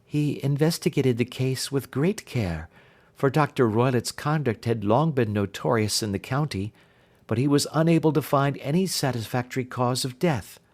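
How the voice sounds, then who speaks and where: A troubled young gentlewoman of a Victorian storybook , voiced by a man reading aloud. She speaks earnestly and formally, carefully laying out her account. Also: mid-range voice, moderate pace, close to an everyday voice. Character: Helen, distressed young gentlewoman recounting her sister's death.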